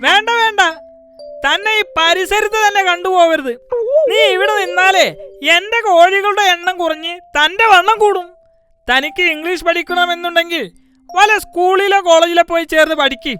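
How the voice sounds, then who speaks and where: A woman's voice: very high voice, normal speed, bright, forceful tone. A woman voicing a proud male shopkeeper and father in a cartoon, who boasts, lectures and scolds. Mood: scolding. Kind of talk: cartoon dialogue. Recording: music behind the voice.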